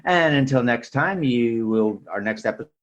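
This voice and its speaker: A man's voice: medium pitch, lively intonation, moderate pace, dull audio. A man speaking as a podcast host in a casual film discussion, often tacking small verbal tags onto his sentences. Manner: repeats verbal tags like "sort of thing".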